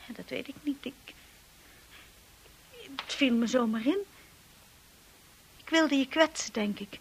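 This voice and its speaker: An actress playing a shy, insecure young wife in a radio drama, earnest and hesitant. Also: female voice, high voice, takes it slowly, heavily acted, poor audio. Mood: apologetic. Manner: hesitant, breathy, apologetic.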